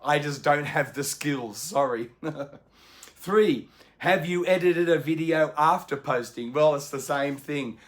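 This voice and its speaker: A man hosting a book channel, speaking off the cuff from home in a chatty, courteous way. His voice sits fairly high for a man and is a little croaky, with frequent ums. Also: slow pace. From Australia. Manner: chatty and polite, many ums and small laughs. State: slightly croaky, coughing. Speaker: BookTube host. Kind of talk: unscripted casual chat to camera. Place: home indoors in the evening.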